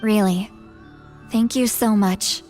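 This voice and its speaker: A woman's voice: mid-range voice, fairly even intonation, takes it slowly, darkish tone, soft background music, natural voice. A woman voicing a determined, earnest young female warrior in a video game, resolute in the way she talks. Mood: sincere, resolute.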